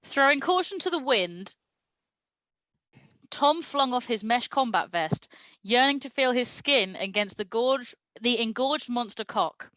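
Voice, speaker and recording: Woman, high-pitched, bright forceful tone, mock-serious comic reading, giggle-prone, very dry recording. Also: animated, a little slow, no echo, lo-fi sound. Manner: mock-serious, often breaking into laughter. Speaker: reader at a comedy show. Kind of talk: comedic reading of fan fiction aloud.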